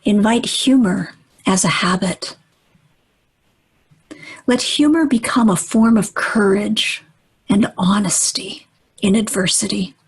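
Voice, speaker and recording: A woman leading a guided meditation in a gentle, deliberate manner, with long pauses and a breathy, husky voice that often nears a whisper. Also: medium pitch, rough recording.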